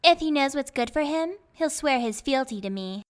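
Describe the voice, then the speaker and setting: A woman's voice: high voice, lively intonation, moderate pace. A woman voicing a small horned godling girl in an audio drama, with a little childlike voice and sly, menacing taunts.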